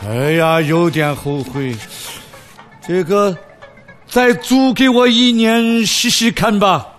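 Man as slow, high-voiced earnest farmer. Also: a bit bright, soft background music. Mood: hesitant, bargaining. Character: plain-spoken, grateful male jujube farmer, cautious but canny. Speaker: actor in a radio drama. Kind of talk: amateur micro radio drama acting.

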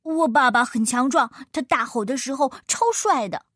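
A woman's voice: sits high, normal speed, a bit bright, no echo, clean studio sound, pitched above the performer's own voice. A woman voicing a friendly little leopard cub in a children's story, talking with proud, childlike admiration. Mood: proud, admiring.

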